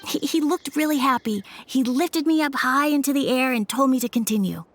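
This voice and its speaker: A woman voicing a shy, earnest young boy who speaks softly and hesitantly in a game. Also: high voice, a little fast. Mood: wistful. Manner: soft, hesitant storytelling. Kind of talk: game voice acting.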